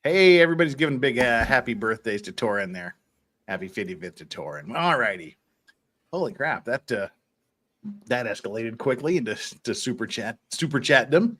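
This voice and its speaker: A man's voice: mid-range voice, lively intonation, normal speed, fairly bright tone. A man in his fifties hosting a livestream, chatting casually and reading out viewer comments in a rambling, jokey, giggly way. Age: 50s. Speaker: livestream host.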